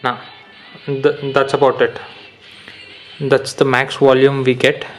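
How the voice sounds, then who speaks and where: A young man explaining an unboxing and install step by step, with many pauses and fillers. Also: medium pitch, monotone, soft background music. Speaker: motorcycle gear reviewer. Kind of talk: casual product unboxing and how-to.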